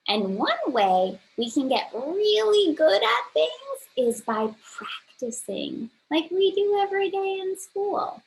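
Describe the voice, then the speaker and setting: A woman's voice: a little high, low-quality recording. A woman teacher introducing a read-aloud to young children, explaining simply and with emphasis.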